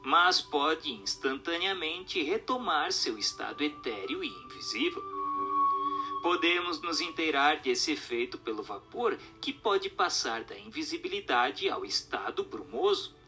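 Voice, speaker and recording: A man's voice: on the high side, big pitch swings, unhurried, bright, forceful tone. A Brazilian man reading a book aloud as scripted narration, in a formal, didactic manner. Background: background music.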